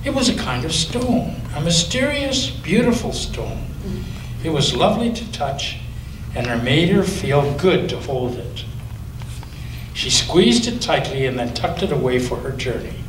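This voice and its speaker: Expressive pastor reading a story. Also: male voice, fairly high pitch, unhurried. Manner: expressive storytelling, with occasional slips. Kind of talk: reading a children's story aloud. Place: church. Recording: roomy echo, distant mic, faint hiss.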